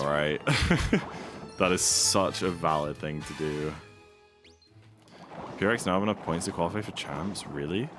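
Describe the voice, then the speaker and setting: A slightly hoarse young man streaming a speedrun, chatting casually and rambling with lots of fillers. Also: deep voice. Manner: rambling, laid-back, many fillers. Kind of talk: casual live-stream chat. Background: game music.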